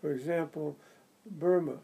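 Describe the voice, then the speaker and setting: Rambling older man with a gravelly low voice. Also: dark tone, low-quality recording. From United States. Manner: rambling, halting, full of restarts and "you see". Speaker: interviewee. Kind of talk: oral history interview.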